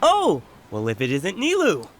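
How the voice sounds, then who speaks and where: A man's voice: sits high, huge pitch swings, unhurried, slight background noise, exaggerated voice. A man playing a jovial, welcoming market food seller in a fantasy game, hearty and exclamatory. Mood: cheerful, welcoming.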